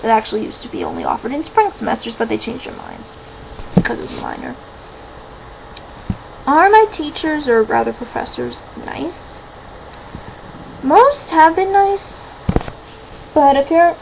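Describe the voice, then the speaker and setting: A young American woman talks casually to camera, answering viewers' questions. Her voice sits fairly high and crackles with vocal fry. She interrupts herself with rambling asides, and there is clear background noise in the recording. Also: a little mellow. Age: 20s. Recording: clearly noisy background hiss and ambience.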